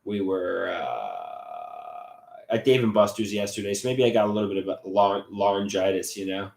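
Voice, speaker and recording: A man hosting a livestream, reading chat messages aloud and answering them, his voice a bit congested, with halting, stammering restarts that trail off. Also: low voice, lively intonation, vocal fry, echoey room. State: slightly congested voice.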